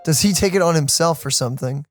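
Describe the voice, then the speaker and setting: Low-voiced woman musing over a game. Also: fast, faint music behind. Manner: musing, wondering aloud. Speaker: let's play co-host. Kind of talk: casual gameplay commentary.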